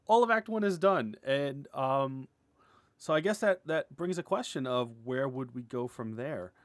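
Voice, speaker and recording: A man giving a casual, unscripted update as an animator, rambling and halting as he restarts his sentences, informal and candid. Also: medium pitch, animated, dry recording, studio quality. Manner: rambling, halting, self-interrupting, often chuckling and clearing throat; informal, mildly sweary, candid. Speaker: animator and web series creator.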